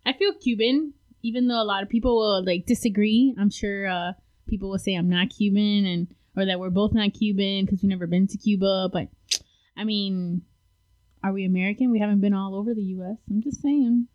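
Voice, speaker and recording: A woman hosting a casual interview, playful and quick to laugh, saying "like" often. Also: mid-range voice, fairly even intonation, darkish tone, muffled recording.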